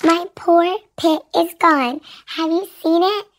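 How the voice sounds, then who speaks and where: A woman's voice: very high voice, slow, a little hiss or hum, pitched above the performer's own voice. A woman voicing a fretful, dramatic young male avocado in a plaintive childlike storybook voice. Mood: sad.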